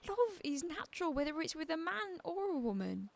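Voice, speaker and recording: A woman's voice: extremely high pitch, very animated, moderate pace, creaky, no echo, exaggerated voice. A woman playing a defiant, bitter asylum patient in a radio drama, with a probing, wry edge to her talk. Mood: indignant, impassioned.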